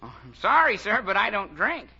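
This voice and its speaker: An actor playing a prim, polite, deferential horse-cab driver in a 1940s radio comedy sketch. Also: male voice, high voice, wildly swooping pitch, brisk, sharp tone, husky, heavily acted. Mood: apologetic. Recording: dull old-time radio recording.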